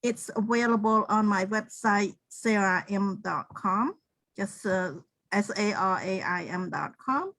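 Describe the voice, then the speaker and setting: A woman's voice: mid-range voice, fairly bright tone, no room sound, rough recording. A middle-aged woman from Cambodia, telling her personal story on a video call in an earnest, simple way. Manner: slow, earnest, simple phrasing. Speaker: co-host and speaker.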